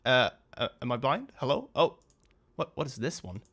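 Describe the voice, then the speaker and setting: A man's voice: medium pitch, big pitch swings, moderate pace, creaky, fairly bright tone. A young man hosting a gaming video, talking off the cuff in a casual, hesitant, rambling and apologetic way.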